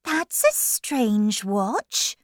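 A woman's voice: a little high, wildly swooping pitch, very slow, no room sound, studio quality, pitched above the performer's own voice, exaggerated voice. A woman voicing a polite but indignant young girl in a children's story, with careful, spaced-out words. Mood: puzzled. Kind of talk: slow children's storybook character voice.

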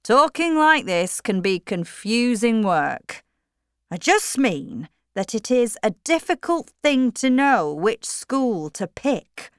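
Female reader voicing a headstrong storybook miller husband, insistent and slow. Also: fairly high pitch, big pitch swings, smooth, no echo, studio quality, voice pushed up, heavily acted. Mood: musing. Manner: forceful, emphatic, raised voice. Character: stern middle-aged country miller, stubborn and proud.

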